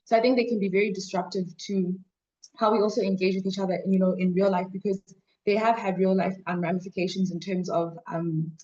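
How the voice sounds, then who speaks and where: A woman's voice: medium pitch, fairly even intonation, brisk, darkish tone, dull audio. A young woman student, speaking on a panel in a hesitant way with many fillers. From Zimbabwe, raised in South Africa. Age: young adult. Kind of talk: panel remarks.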